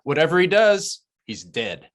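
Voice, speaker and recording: Male actor as a scheming crony, smug, sly, sardonic, very animated intonation, screenplay read. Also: on the high side, measured pace.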